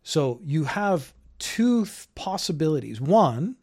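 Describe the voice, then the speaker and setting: A man's voice: on the high side, very animated, takes it slowly, a little mellow, slightly rough. A Canadian man hosting his own podcast, talking in a loose, rambling, opinionated way. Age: older. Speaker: podcast host. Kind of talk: casual solo commentary. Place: home in Japan.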